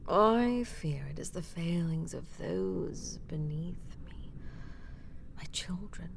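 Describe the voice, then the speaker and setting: An ancient vampire mistress, played by a woman, speaks low and very slowly in a breathy, creaking near-whisper. She is haughty and indulgent in an intimate audio drama way, with noticeable noise and ambience behind her. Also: darkish tone, heavily acted. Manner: haughty, languid, formal aristocratic phrasing. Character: imperious ancient female vampire matriarch, condescending yet indulgent. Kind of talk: scripted roleplay audio drama, ASMR-like intimacy.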